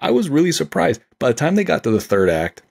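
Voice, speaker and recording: A man's voice: medium pitch, sing-song, fast, gravelly, darkish tone, breathy edge. A man chatting on a podcast about movies, enthusiastic and rambling with lots of "like.". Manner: enthusiastic, rambling, many fillers like "like". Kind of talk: casual movie discussion.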